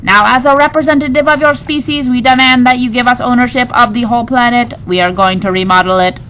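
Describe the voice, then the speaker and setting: A woman's voice: on the high side, steady tone, fast talker, fairly bright tone, slight background noise, voice pushed up, close to an everyday voice, poor audio. A woman voicing a grumpy, globby green alien from a storybook, demanding and pompous in manner.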